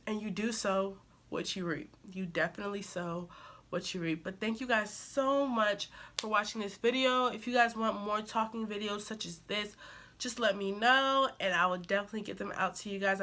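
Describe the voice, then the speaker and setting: A young woman talks to her viewers, nasal and a little stuffy from a cold she has just shaken. Her voice is bright and forceful, and she sounds earnest and encouraging, with a preachy edge. Her pitch sits in the middle range for a woman. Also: fairly even intonation, moderate pace. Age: young adult. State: recovering from a cold. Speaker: vlogger. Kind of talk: casual advice talk to camera.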